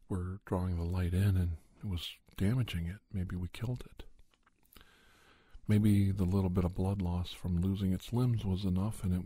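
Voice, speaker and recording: A man playing a grave, gentle doctor who recounts paranormal creature hunts in a soft, husky ASMR storytelling style. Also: low-pitched, no echo. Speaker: storyteller, podcast narrator.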